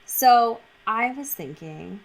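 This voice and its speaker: A woman co-hosting a podcast in casual banter, playful, slangy and quick to swear. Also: fairly high pitch, lively intonation, creaky, rough recording. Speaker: podcast co-host.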